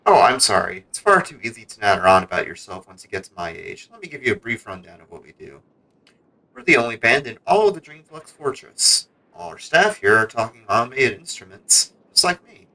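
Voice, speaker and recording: A man's voice: mid-range voice, very animated, fairly quick, pushed and bright, low-quality recording. A man reading out the game lines of a chatty, aging female cello who keeps a music shop. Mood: chatty, welcoming. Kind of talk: reading game dialogue aloud.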